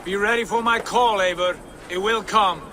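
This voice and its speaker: An actor playing a commanding Viking chieftain giving brisk orders. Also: male voice, sits high, fairly even intonation, bright, forceful tone, slight background noise. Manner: authoritative, brisk.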